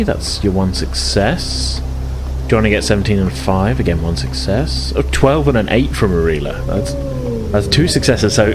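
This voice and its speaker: Low-pitched male game master, relaxed hesitant narration, music bed under the voice. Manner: measured, filler-heavy narration with soft laughs.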